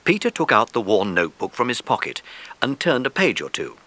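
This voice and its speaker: A man narrating a children's story in an expressive, dramatic way, as in a scripted audiobook. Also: mid-range voice, brisk.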